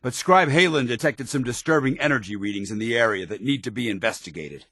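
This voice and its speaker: An actor playing a stern, loyal military officer in a video game, speaking with formal, earnest, clipped diction. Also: male voice, mid-range voice, animated, a little fast, sharp tone, dry recording, clean studio sound. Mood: grave, briefing. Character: stern, loyal, dutiful male military officer in power armor. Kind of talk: video game companion dialogue.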